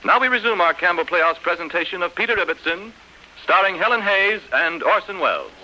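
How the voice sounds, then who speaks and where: A man's voice: sits high, lively intonation, a little fast, noisy background. A man announcing from a script in 1930s radio style. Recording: old radio broadcast over music.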